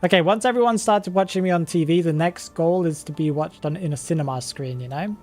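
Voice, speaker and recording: A streamer from the UK with a British accent, chatting casually on a live stream, reading viewer comments aloud, chuckling often and thinking out loud. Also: medium pitch, a little fast, soft background music. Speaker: game streamer.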